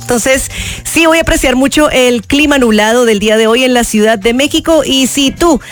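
A woman's voice: sits high, moderate pace, soft background music. A woman from Colombia with a Colombian accent, co-hosting a radio show with playful, chatty banter about the weather. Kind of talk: casual banter and weather chat.

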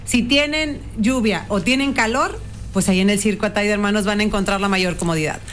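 A woman representing a circus, clearly listing show details in an interview. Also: mid-range voice, a little fast, slight background noise. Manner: clear, informative, lists details. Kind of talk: promotional interview.

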